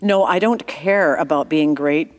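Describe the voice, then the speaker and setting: A woman voicing an eager, earnest young red-haired boy in a softly raised child voice over faint music. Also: low-pitched, a little slow.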